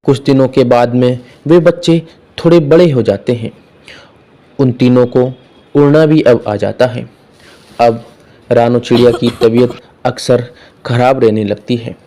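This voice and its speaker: A man's voice: medium pitch, fairly even intonation, a little slow, pauses often, mellow. A man narrating a scripted children's story.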